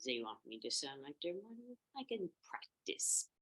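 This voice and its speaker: Hungry, scheming male wolf for a children's tale, performed by a woman; menacing, gloating, lively pitch movement. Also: normal speed. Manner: gloating, menacing, practising a put-on sweet voice.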